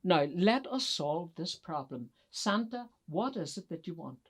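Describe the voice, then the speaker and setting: A woman's voice: fairly low pitch, big pitch swings, unhurried, husky, heavily acted. A woman voicing Santa's sensible older wife, firm and reassuring, in a children's story. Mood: firm, calming.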